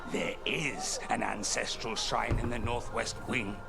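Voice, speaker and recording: A man voicing an absorbed, unhurried scholar in a video game, with a gravelly voice. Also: mid-range voice, fairly even intonation. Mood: curious, musing. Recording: very loud game music over voice.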